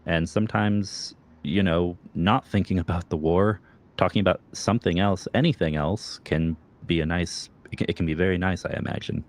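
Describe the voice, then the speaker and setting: A man's voice: deep voice, fairly even intonation, moderate pace. A male host in a casual interview, giving long explanatory answers with plenty of "um" and "you know.". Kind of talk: casual video-call interview.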